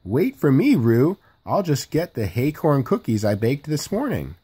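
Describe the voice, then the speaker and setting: A timid little piglet from a children's storybook, voiced by a man. The pitch is lifted and moves around a lot, and the manner is hesitant, sweet and earnest. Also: huge pitch swings, unhurried, mellow, breathy edge, heavily acted. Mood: excited. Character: small timid young male piglet, anxious but sweet.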